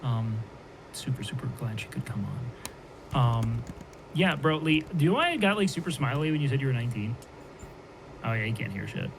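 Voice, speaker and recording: Guy hosting a podcast over a call, mid pitch, earnest and rambly, bit of background noise. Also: very animated, a little fast, pauses often. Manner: earnest, encouraging, loose with fillers. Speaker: podcast host. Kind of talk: casual chat. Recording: noisy online call audio.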